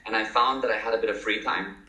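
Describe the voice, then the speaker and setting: Man, thirties, mixed american-south african accent, talkative storyteller, lowish forceful voice. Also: steady tone, fast. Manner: talkative, upbeat, storytelling with fillers. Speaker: entrepreneur guest. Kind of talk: casual interview talk. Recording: echoey room.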